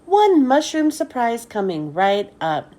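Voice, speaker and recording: A woman reads as a kindly school lunch lady in a children's storybook. She is eager and obliging, with a fairly high, sing-song voice. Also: very animated, slow pace, mellow, full character voice. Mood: proud.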